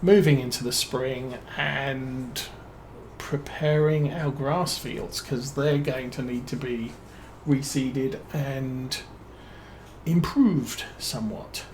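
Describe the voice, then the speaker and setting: A man with a British accent giving relaxed let's-play commentary as a streamer, musing and thinking aloud with plenty of fillers. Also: medium pitch, slow, a little hiss or hum, poor audio. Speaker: game streamer.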